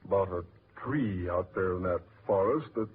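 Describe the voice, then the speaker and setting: An actor playing a wry, scoffing British gentleman with a dry manner in a 1940s radio drama. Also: deep voice, normal speed, breathy edge. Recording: noisy, tinny 1940s radio recording.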